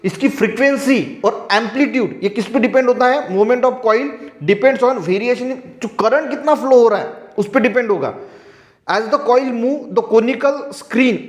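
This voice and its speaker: High-pitched Indian male teacher, echoey room. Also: unhurried. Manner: repetitive, step-by-step explaining. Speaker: science teacher. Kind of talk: classroom explanation alternating read-out textbook sentences with explanation.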